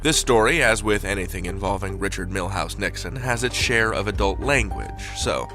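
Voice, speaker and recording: A man's voice: on the low side, expressive, normal speed, over music. A man hosting a podcast, reading a scripted introduction with wry, dramatic emphasis. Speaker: podcast host.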